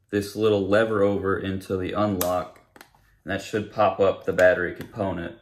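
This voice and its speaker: A young man with a low-pitched voice talks through a product review. His delivery is casual and unscripted, explaining each point in plain words. He is recorded in a living room, a short distance from the camera's microphone. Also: slight background noise. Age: young adult.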